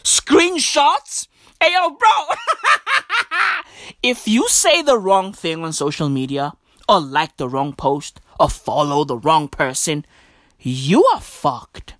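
A woman's voice: on the low side, very animated, takes it slowly, fairly bright tone, no room sound, studio quality. A woman doing a stand-up comedy rant, emphatic and profane, full of slang and repeated punchlines. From South Africa.

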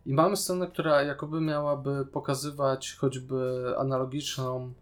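Guy on a casual podcast, regular pitch, explains his takes and asks stuff, faint music behind. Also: level tone, normal speed. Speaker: podcast co-host. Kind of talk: casual chat, film and series discussion.

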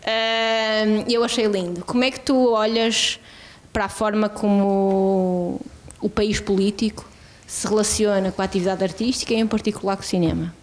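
A woman's voice: mid-range voice, steady tone, moderate pace, a little hiss or hum. A woman hosting a podcast interview, asking long, loosely built questions. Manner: conversational, loosely phrased questions. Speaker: podcast host.

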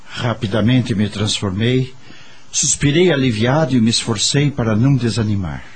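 A man's voice: mid-range voice, moderate pace, breathy edge. A man playing a reflective spirit who narrates his memories expressively and wistfully in a radio drama. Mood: wistful reflection. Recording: music under the voice.

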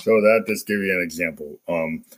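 A man with a very deep voice, ragged and hoarse from a cold, hosts a casual walkthrough of music recording. He speaks in a halting, rambling way, explaining his process as he goes. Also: heavy background noise. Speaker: host, musician.

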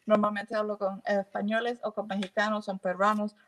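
A woman's voice: mid-range voice, flat delivery, a little fast, slight background noise. A middle-aged woman chatting casually, laughing often and slipping into English. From Puerto Rico. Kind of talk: casual chat.

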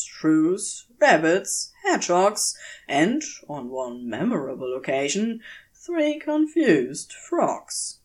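A woman narrates fiction like an audiobook reader. Her voice sits a little low and she takes her time, measured and deliberate. The melody of her speech moves widely, giving the prose an expressive storytelling shape.

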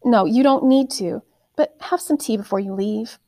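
A woman's voice: on the high side, normal speed, mellow, no echo, studio quality, natural voice. A woman voicing an earnest, protective ten-year-old girl in an audiobook, insistent in manner. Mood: gentle.

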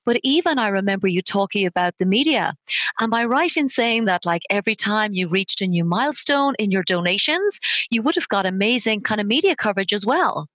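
A woman's voice: mid-range voice, lively intonation, a little fast, fairly bright tone, no echo. A woman hosting an interview, enthusiastic and full of small hesitations and restarts. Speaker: interviewer host. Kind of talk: interview, podcast-style chat.

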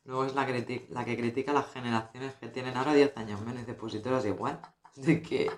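Mujer de voz muy grave, burlona. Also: female voice, extremely low pitch, fairly even intonation. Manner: teasing, wry, quick remarks. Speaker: podcast co-host. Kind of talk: casual chat. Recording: roomy echo.

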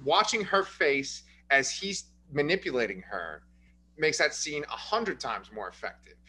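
A man co-hosting a casual movie review podcast, explaining his points in an earnest, measured way. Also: fairly high pitch, very animated, sharp tone, breathy edge. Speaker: podcast co-host.

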